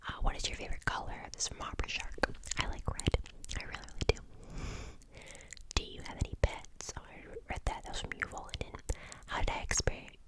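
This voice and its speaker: Young woman, whispered ASMR chat, soft unvoiced breathy tone, lots of fillers, casual. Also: fast talker. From United States. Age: 20s. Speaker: ASMR channel host.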